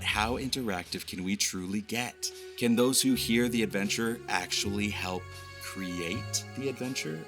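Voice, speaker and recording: Male podcast host: low voice, scripted promo, florid theatrical delivery, prominent guitar bed. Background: guitar music.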